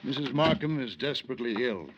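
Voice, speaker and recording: An actor playing a curt, suspicious, evasive husband in a 1950s radio drama, terse and impatient. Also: male voice, medium pitch, expressive, fast talker, heavy background noise, natural voice. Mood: irritated, evasive. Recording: dull vintage radio broadcast.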